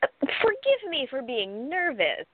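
A woman's voice: sits high, huge pitch swings, unhurried, dry recording, full character voice. A woman playing a protective, skeptical bodyguard, a proper military major, in a radio play. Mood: worried. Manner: skeptical, dryly deferential. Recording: thin, dry phone-like sound.

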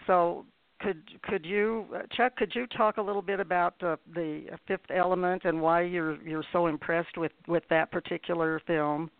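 A man's voice: high voice, steady tone, moderate pace, clear, resonant tone, no room sound. A radio host putting questions to guests in a hesitant, conversational way, often repeating words; a man's voice. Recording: thin phone-quality internet radio.

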